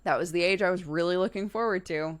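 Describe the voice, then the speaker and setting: Low-pitched woman chatting casually. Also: fast talker. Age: early 30s. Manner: informal, conversational, laughs easily. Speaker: livestream host. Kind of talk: casual chat with live viewers.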